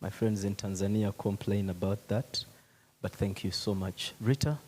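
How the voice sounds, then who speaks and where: A man chairing a conference session in an informal, chatty, anecdotal way with hesitant pauses. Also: lowish voice, level tone, a little mellow, slight background noise. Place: conference hall.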